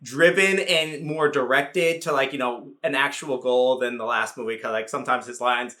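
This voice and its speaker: A man's voice: medium pitch, normal speed, pushed and bright. A man around thirty giving a casual, effusive, sassy and comedic review full of slang. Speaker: YouTube movie reviewer.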